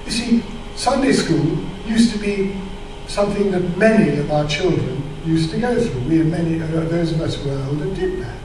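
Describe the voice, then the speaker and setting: An elderly man speaks to a church gathering in an informal, explanatory way, with some hesitation. His voice sits quite high for a man. The recording is very echoey, with clear background noise. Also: lively intonation, moderate pace. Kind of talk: informal church talk with slides. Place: large church hall with audience, indoors. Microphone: microphone on a stand. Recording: echoey hall, distant mic, noisy.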